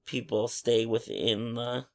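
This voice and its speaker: Man, podcast co-host, mid-pitched, heavy vocal fry, blunt casual review chat. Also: level tone, takes it slowly, darkish tone.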